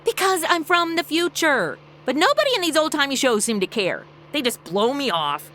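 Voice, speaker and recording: A woman's voice: high-pitched, sing-song, normal speed, pushed and bright, heavily acted. A woman playing a brash, flippant young woman from the future in a comedy audio drama, with breezy, sarcastic modern slang. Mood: casual, wry.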